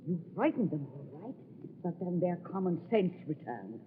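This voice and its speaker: A woman's voice: lowish voice, sing-song, normal speed. Sour female swindler, scornful, old radio acting, narrow phone-like recording with hiss. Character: sour, scornful female accomplice named Agnes.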